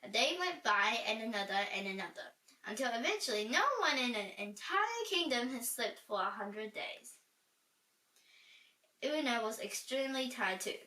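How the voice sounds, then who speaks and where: A woman reads a children's story with animated, dramatic delivery. Her voice is fairly high and bright. It sounds roomy and echoey, set back from the microphone. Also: normal speed. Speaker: storybook reader. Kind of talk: expressive children's story reading. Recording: roomy echo, distant from mic.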